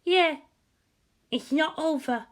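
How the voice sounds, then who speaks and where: A woman's voice: high voice, takes it slowly. A woman voicing an anxious, earnest young schoolgirl in a war story.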